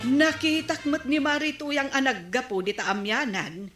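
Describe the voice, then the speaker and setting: A woman's voice: high-pitched, fairly even intonation, moderate pace, a bit bright, reverberant, faint music behind. A woman playing an indignant village neighbour in an Ilocano comedy radio drama, with an Ilocano Filipino accent.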